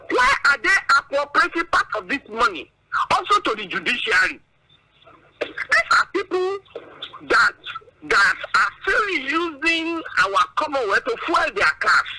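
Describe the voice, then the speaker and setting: A man's voice: very high voice, wildly swooping pitch, measured pace, bright, forceful tone, a little hiss or hum, low-quality recording. A Nigerian man giving political commentary as a guest analyst, emphatic and indignant, stretching out big words and firing off rhetorical questions.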